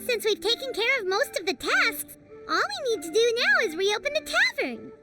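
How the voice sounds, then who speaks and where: A woman voicing a tiny, excitable floating companion in a video game, chirpy and eager. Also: extremely high pitch, over loud music. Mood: upbeat. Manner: chirpy, eager, quick to cheer.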